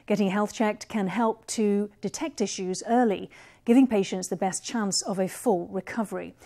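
A woman's voice: medium pitch, dark tone. A woman in her thirties presenting the news from a studio in a poised, formal delivery. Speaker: news anchor.

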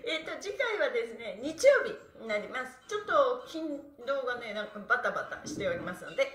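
A woman's voice: on the high side, big pitch swings, brisk. A middle-aged woman leading a stretching class, cheerfully chanting counts and instructions from a few meters away in a small indoor room. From Japan. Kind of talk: live-streamed exercise class. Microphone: phone camera a few meters away. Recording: echoey room from a distance.

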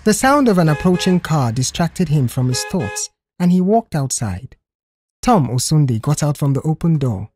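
A woman's voice: low voice, big pitch swings, normal speed, darkish tone, faint music behind. A woman reading a scripted audio story as its narrator.

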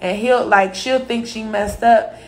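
A woman's voice: a little high, fairly even intonation, a little slow, darkish tone, poor audio. Young woman music director chatting at home, loose and casual, lots of quoting. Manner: casual, chatty, quotes others. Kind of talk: casual chat. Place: home kitchen, indoors.

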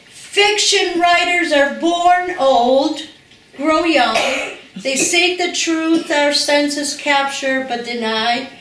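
Woman in her sixties, high voice, unhurried deliberate reading, echoey room from far off. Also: a bit bright, a little hiss or hum. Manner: slow, deliberate reading with self-corrections. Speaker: reader at a literary gathering. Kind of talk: reading a tribute aloud. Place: living room of a large house, indoors. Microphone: far camera.

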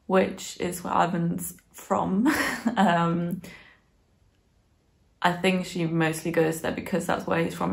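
Book vlogger: woman in her 20s, bilingual in German and English, lowish voice with plenty of fry, informal and reflective. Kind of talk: casual chat to camera, book review. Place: small living room, on a sofa indoors.

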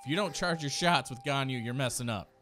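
A man's voice: medium pitch, brisk, sharp tone. An American man in his thirties giving casual, enthusiastic, slangy reaction commentary close to a microphone. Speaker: streamer reacting to game character trailers. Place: small indoor streaming room. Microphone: close desk microphone. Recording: game music behind the voice. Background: music from game trailers.

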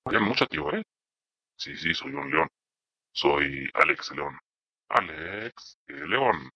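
A man's voice: very animated, slow pace, vocal fry, sharp tone, breathy edge. A young man hosting his channel, joking and rambling while demoing a voice changer. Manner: joking, rambling, silly insults. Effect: deep voice changer. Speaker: channel host. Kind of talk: playful voice-changer demo.

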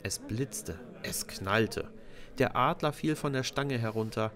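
A man's voice: mid-range voice, takes it slowly, fairly bright tone. A man narrating a scripted children's story as a storyteller.

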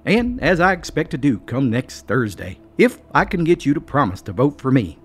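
A man's voice: mid-range voice, very animated, moderate pace, husky, pitched above the performer's own voice. A man voicing a boastful, cocky male politician and judge who talks in a hearty mountain drawl. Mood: jovial.

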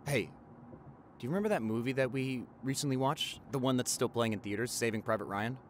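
Young male lead acted by a man: affectionate, playful doctor boyfriend for intimate audio roleplay, chatty, with very lively intonation. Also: medium pitch, a little hiss or hum. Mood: chatty, amazed. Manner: gushing, chatty, with repeated words and exclamations.

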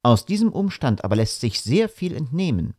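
A man voicing a shrewd male detective who asks probing questions in a measured way. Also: medium pitch, sing-song, a little mellow, no echo, studio quality. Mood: calm.

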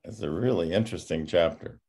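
An older man in his sixties, a pastor giving an informal class talk over a video call, reflective and halting with many pauses. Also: sits low, gravelly, a little mellow, slightly rough. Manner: halting, reflective, often pausing. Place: home study with bookshelves, on a video call. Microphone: computer microphone on a video call.

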